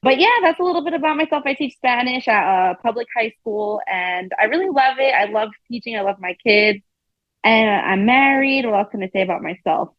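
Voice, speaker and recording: Woman, 30s, slightly high voice, casual and giggly, dull lo-fi sound, dry. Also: animated, brisk, no echo. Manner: chatty, laughs often, says "like" a lot. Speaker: guest teacher. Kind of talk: casual podcast interview.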